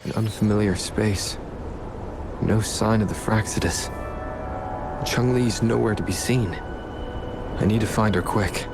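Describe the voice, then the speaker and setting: A focused young hero in a video game. He speaks in a low voice and a measured pace, terse and understated, as if to himself. Music is loud behind him. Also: steady tone. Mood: wary, focused. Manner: terse, low-key thinking aloud.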